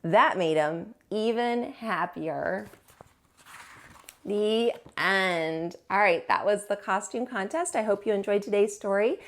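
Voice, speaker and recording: A woman hosting storytime, reading aloud to young children with an expressive, teacherly delivery. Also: mid-range voice, slow pace.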